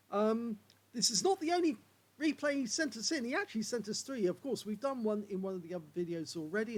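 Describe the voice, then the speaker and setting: A hoarse man commentating on game replays in a chatty way, filling pauses with uh and um. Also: high voice.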